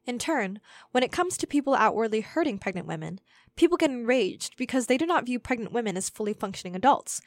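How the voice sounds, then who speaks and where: A woman's voice: on the high side, no echo, clean studio sound. A young woman reading a scripted essay aloud as narration for a student audio zine. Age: young adult.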